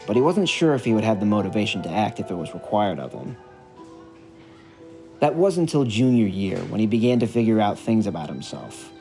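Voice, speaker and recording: A man's voice: fairly low pitch, dark tone. A man reading a scripted story narration as the storyteller of an audio drama. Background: music.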